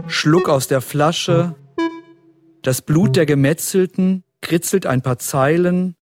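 An actor playing an intense narrator in an audio drama, declaiming grimly and repeating phrases insistently. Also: male voice, fairly high pitch. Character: intense male narrator, chanting and repeating grim war phrases.

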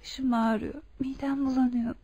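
Dark-toned, dazed amnesiac woman. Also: high-pitched, flat delivery, normal speed, slightly rough, no echo. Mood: distressed, pleading. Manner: faltering, repetitive, plaintive. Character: dazed, anguished female patient with memory loss. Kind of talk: Turkish radio theatre acting. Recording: muffled, dull radio-play recording.

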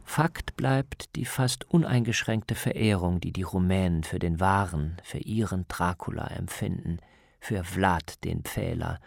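A male documentary reporter with a fairly low voice delivers scripted narration. There is noticeable fry in his tone, and the sound is very dry and close. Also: dry recording.

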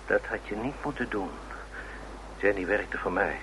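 An actor playing a domineering, menacing criminal older brother in a radio play, bullying and insistent. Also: male voice, fairly low pitch, moderate pace, fairly bright tone, lightly acted. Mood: bitter, scolding. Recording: noisy old radio drama recording.

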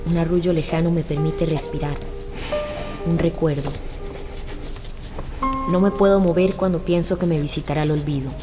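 A woman with a slightly low voice recites poetry. She is solemn and reflective, with music clearly behind her. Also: steady tone, moderate pace, long pauses. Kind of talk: poetic recitation.

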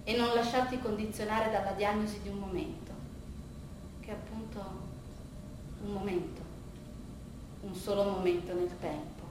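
A woman playing a weary, disillusioned older psychiatrist in a theatrical monologue, speaking in a measured, deliberate way with rhetorical repetition. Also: mid-range voice, steady tone, pitched below the performer's own voice, natural voice. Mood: grave, advising. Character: veteran psychiatrist of thirty-five years, weary, disillusioned, reflective. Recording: roomy, distant stage recording, faint noise.

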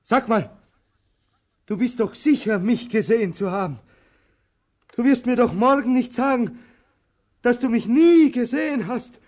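Weary brooding tramp, radio drama, very slow halting speech, dark mellow tone, theatrical pauses, flares of urgency. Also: male voice, extremely high pitch, lively intonation. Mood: urgent, pleading. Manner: halting, musing, with long pauses and sudden urgency. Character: weary, brooding male tramp, anxious and waiting.

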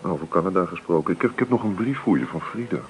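A man's voice: low voice, animated, rapid-fire, a little hiss or hum, low-quality recording. A male actor playing a teasing, blustering man in a radio play, talking colloquially.

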